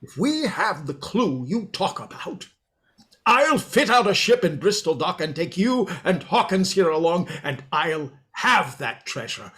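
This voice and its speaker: A man's voice: high voice, big pitch swings, unhurried, fairly bright tone, full character voice. A man playing a hearty, pompous, exclamatory country squire in a radio play reading. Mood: hearty, excited. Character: blustering, hearty country squire, grand and excitable.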